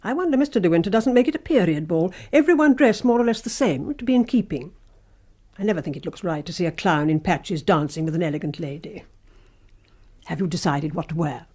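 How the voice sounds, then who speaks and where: A woman's voice: lowish voice, lively intonation, a little fast, a little mellow, husky. An actress playing a sinister, obsessive housekeeper in a radio drama, insinuating and intimate, lingering on her phrases. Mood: sly, coaxing.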